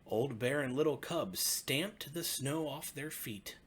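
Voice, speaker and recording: A man, a pastor, narrating a bedtime story for children in a gentle, expressive way with deliberate phrasing. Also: medium pitch, slight background noise.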